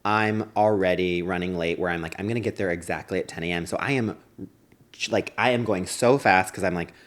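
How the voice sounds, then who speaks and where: A man's voice: deep voice, fairly quick. A man co-hosting a podcast in casual comedic chat, dramatizing his own anecdotes as he goes. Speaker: podcast co-host.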